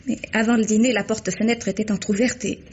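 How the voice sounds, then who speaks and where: A woman's voice: medium pitch, fairly even intonation, a little mellow, slight background noise, natural voice. Actress playing a sighing, guilt-ridden young woman, old dull radio sound. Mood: remorseful. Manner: halting, emotional, many sighs. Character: young woman confessing a poisoning, remorseful. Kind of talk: 1950s radio drama acting.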